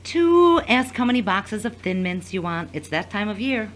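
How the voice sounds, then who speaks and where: A woman's voice: mid-range voice, sing-song, moderate pace, natural voice. A woman playing a frank, watchful confidante in an audio drama, with a teasing, probing manner. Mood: teasing concern. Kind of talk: scripted audio drama acting.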